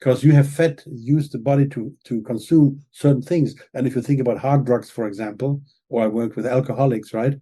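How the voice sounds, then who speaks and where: Guy lecturing earnestly, dark husky voice, keeps saying okay? right?. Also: medium pitch, a little fast, hardly any pauses. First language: German. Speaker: author and guest expert. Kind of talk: explaining in an interview.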